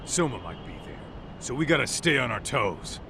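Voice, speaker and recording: Brash, animated tough-guy sidekick. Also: mid-range voice, normal speed, gravelly, background noise. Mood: determined. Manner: blunt, cocky, street-tough, swearing. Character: brash, burly male detective sidekick, hot-blooded and loyal. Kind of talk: English video game dub acting.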